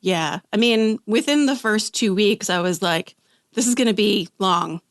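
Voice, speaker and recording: A woman guest on a podcast, telling personal stories in a chatty way with quick asides, her throat a little rough after an illness. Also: medium pitch, no echo, clean studio sound. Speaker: guest, author. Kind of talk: casual podcast conversation.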